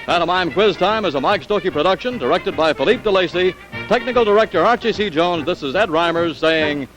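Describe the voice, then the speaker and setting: A man's voice: high voice, expressive, brisk, poor audio. A man announcing in a scripted vintage television style on an old broadcast soundtrack. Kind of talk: 1950s TV announcing, scripted sign-off. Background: music.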